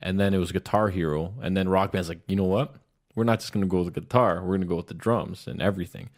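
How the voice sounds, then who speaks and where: A man's voice: low voice, steady tone, quick pace. A man talking casually as a podcast co-host. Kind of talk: casual chat.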